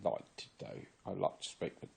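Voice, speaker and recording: Man, 60s, from Virginia, fake English accent, dark mellow tone, rambling earnest monologue. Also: moderate pace. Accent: put-on English. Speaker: former member giving testimony. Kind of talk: solo confessional monologue to camera.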